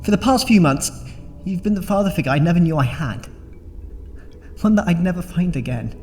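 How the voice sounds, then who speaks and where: A man's voice: high voice, animated, a little fast, darkish tone. An actor playing an obsessive, wounded young man in an audio drama, pleading and faltering with emotion. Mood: tearful longing. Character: obsessive, needy, wounded young male hanger-on. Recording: long echoing reverb, music behind.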